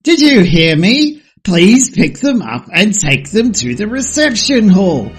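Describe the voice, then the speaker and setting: An officious palace guard acted by a man in an audio drama. The voice is husky and slow, with exasperated, swooping intonation. Faint music sits behind it. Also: sits high, big pitch swings, heavily acted.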